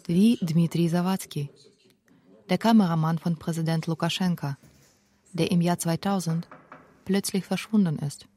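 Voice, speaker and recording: Mellow, reflective thirty-year-old woman from Belarus. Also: fairly low pitch, moderate pace, long pauses, a little hiss or hum. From Minsk, Belarus. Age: 30s. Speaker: feature author and narrator. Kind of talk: scripted first-person documentary narration.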